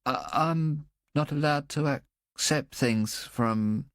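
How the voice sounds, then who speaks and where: A man voicing an anxious, simple-minded young boy in an audiobook, talking in a halting, earnest way. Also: fairly high pitch, no room sound, studio quality, pitched above the performer's own voice. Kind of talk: audiobook character voice.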